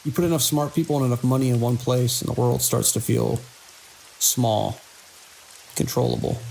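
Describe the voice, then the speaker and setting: An actor playing a weary, watchful security chief in an audio drama, speaking in a dry, measured way. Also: male voice, medium pitch, fairly even intonation, gravelly, darkish tone, close to an everyday voice, rough recording. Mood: reflective. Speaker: security lead. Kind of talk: audio drama, logs and radio dialogue. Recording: hiss and rain-like noise.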